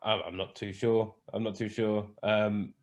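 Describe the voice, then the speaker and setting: A man with a fairly low voice talks in a casual interview. He muses hesitantly, pausing on fillers and starting sentences over as he works out his answers. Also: flat delivery, a little mellow. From born in England, raised in Spain, Scottish parents. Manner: hesitant, thinks aloud with fillers and restarts. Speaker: podcast guest, cruise hospitality manager.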